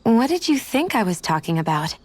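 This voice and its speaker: A woman playing a composed, quietly affectionate young adventurer in a video game, with a gently teasing manner. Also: fairly high pitch, very animated, brisk, no room sound. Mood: tentative. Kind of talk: video game cutscene acting.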